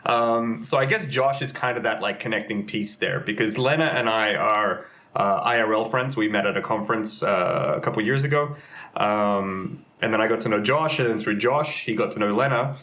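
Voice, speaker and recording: A man's voice: fairly low pitch, moderate pace, bright, forceful tone. A young adult man, a game community manager, chatting casually as an interview guest, rambling with many fillers and restarts.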